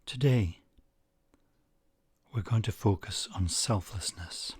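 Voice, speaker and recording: A man narrating a guided meditation in a measured way, leaving long pauses between short phrases. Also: on the low side, a little mellow, breathy edge, no room sound.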